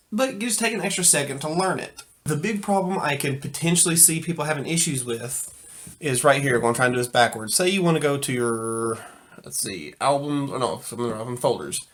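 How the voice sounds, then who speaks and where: A man's voice: on the high side, a bit bright. A man giving an informal video product review in an enthusiastic, emphatic and folksy conversational way. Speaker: audio gear reviewer.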